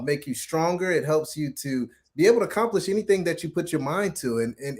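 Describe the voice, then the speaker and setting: Effusive male host, slightly high voice. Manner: effusive, informal, addresses guest as man. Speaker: podcast host interviewing a guest. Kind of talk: casual, upbeat interview chat.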